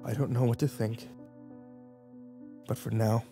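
A man narrating a first-person horror story, hushed and tense, with occasional acted lines. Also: medium pitch, fairly even intonation, unhurried, music in the background.

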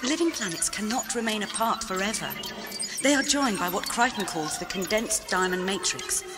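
An actress playing an earnest, idealistic rebel in a science-fiction audio drama, solemn and visionary. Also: female voice, medium pitch, animated, normal speed, natural voice. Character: earnest, idealistic woman rebel with mystical conviction. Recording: music behind the voice.

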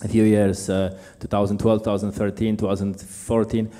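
A man with a Polish accent, whose first language is Polish, speaking up from the audience at a convention in halting English with many fillers. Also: lowish voice, little pitch movement, mellow. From Poland. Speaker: audience member, beekeeper. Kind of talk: Q&A comment at a convention. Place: large lecture hall.

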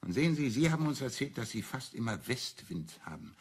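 An actor playing a shrewd priest and amateur detective in a German radio crime drama, explaining deliberately and with self-assurance. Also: male voice, medium pitch, very animated, breathy edge. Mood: confident, explaining.

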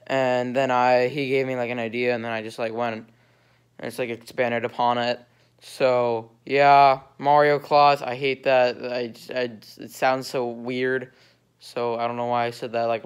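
A man's voice: mid-range voice, smooth. A teenage boy with a slight cold, casually rambling through a vlog outro with many fillers, filmed on a phone at arm's length indoors. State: stuffy from a cold. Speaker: youtuber. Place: indoor living room at night. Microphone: phone held low at arm's length.

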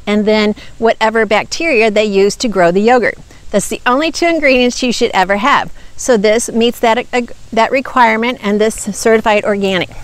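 A woman in her fifties reviewing products to camera outdoors, explaining in an earnest, emphatic teaching manner on a lapel mic. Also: on the high side. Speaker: nutrition ministry host. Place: outdoors by woods.

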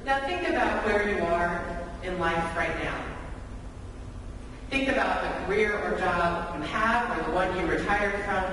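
A woman in her thirties preaching a sermon from a church pulpit in measured, deliberate phrases with rhetorical repetition. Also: mid-range voice, fairly bright tone. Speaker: pastor. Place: large church sanctuary, at the pulpit. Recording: echoey church hall, distant mic, background noise.

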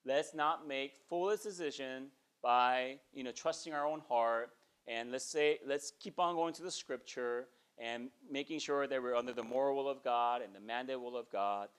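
A man's voice: medium pitch, moderate pace, clear, resonant tone. A man in his forties preaching a sermon in a small church, talking conversationally and often checking in with "okay?". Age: 40s. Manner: conversational preaching, frequent "okay?" check-ins, animated gestures. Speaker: pastor. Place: small church sanctuary, indoors.

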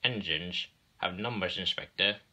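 A man voicing a stern, deliberate railway director in a storybook, patient but grim and used to command. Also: on the low side, animated, bright, forceful tone, full character voice. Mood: exasperated.

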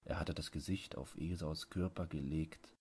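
A man narrating a literary bedtime audiobook, reading with measured, deliberate phrasing. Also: a bass voice, fairly even intonation, a little mellow, husky.

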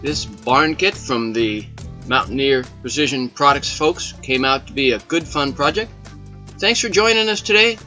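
A man's voice: mid-range voice, normal speed, pushed and bright, soft background music. A man narrating a hobby build tutorial in an enthusiastic, chatty way, often asking the viewer questions. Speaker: model railroad hobbyist host. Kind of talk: casual voice-over over a build tutorial.

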